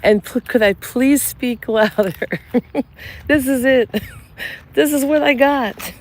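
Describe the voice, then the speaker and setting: A soft-spoken woman, a psychic medium, chatting gently in a live stream while she walks through a cemetery among blossoming cherry trees. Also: high voice, takes it slowly. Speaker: psychic medium and channel host. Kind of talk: casual live-stream chat while walking. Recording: outdoor live stream with clear ambient noise. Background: birds and passing traffic.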